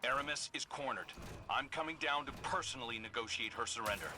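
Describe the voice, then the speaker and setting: A brisk mission handler. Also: male voice, mid-range voice, pushed and bright, a little hiss or hum. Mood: urgent. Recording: game audio with music. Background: music.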